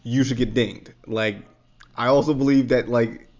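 A man hosting a casual podcast, talking in a relaxed, rambling way with lots of fillers. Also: mid-range voice, moderate pace. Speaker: podcast host. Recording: dull lo-fi sound.

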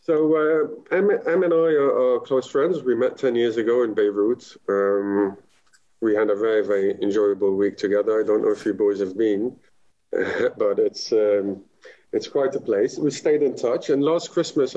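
A man's voice: on the low side, fairly even intonation, moderate pace, mellow, no echo, highs cut off. A man from Lebanon, a guest talking with enthusiasm in a casual podcast chat, rambling with many fillers. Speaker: guest, business founder.

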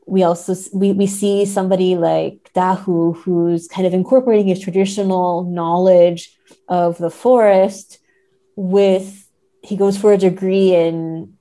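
A woman's voice: on the low side, flat delivery, a little slow, warm tone. A woman in a conversational literary discussion, speaking thoughtfully as a podcast guest and often checking in with tag questions.

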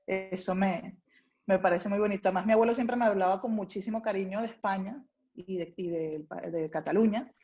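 A woman's voice: medium pitch, a little fast, a little mellow, lo-fi sound. A young adult woman from Venezuela with a Venezuelan Spanish accent, chatting as a guest in an interview about her life. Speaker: guest, food writer. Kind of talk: casual interview chat.

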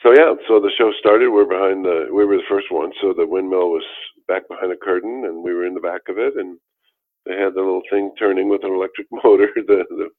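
Deep-voiced older man telling his story. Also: fairly even intonation, fairly quick. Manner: rambling, with many restarts and fillers. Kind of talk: casual storytelling interview. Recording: tinny phone-like line.

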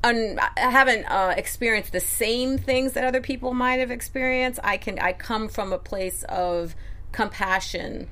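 A woman's voice: fairly high pitch, normal speed, bright, forceful tone. A middle-aged woman from Connecticut, an activist answering interview questions in an earnest, reflective, emphatic way. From Connecticut, USA. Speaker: guest, activist.

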